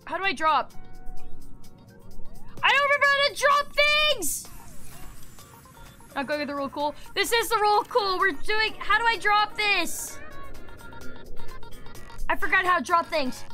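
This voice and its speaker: A young woman streams a game while sick, her voice going as she chats. It sits high and bright, jumping to shrieks and swearing whenever something startles her. Faint game music plays underneath. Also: expressive, measured pace, pauses often. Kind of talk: casual gameplay streaming chat.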